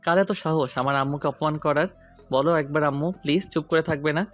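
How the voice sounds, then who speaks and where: A man's voice: fairly high pitch, fairly quick, lightly acted. An actor playing a hot-headed, protective young man in an audio story, insistent and defiant. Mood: anxious, pleading. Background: background music.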